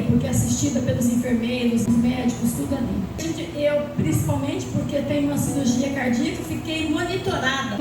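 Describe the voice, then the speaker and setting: A woman's voice: high voice, noisy background. A Brazilian woman giving an earnest, emotional testimony to a church congregation. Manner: earnest, emotional storytelling with pauses. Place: church hall. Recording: very echoey church from far off, loud music. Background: loud music under the speech.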